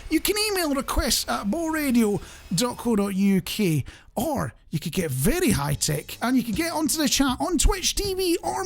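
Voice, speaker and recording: British man, chatty, lively radio host. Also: extremely high pitch. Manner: rambling, self-deprecating, conversational. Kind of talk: casual chatty radio presenting.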